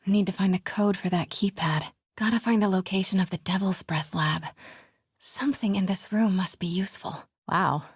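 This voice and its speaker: A curious young woman talks to herself in a video game while sneaking around. Her voice is breathy and slightly husky at an average pitch, recorded dry. She is wry and quick-witted, keeping to hushed self-talk. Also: no echo. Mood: focused, stealthy.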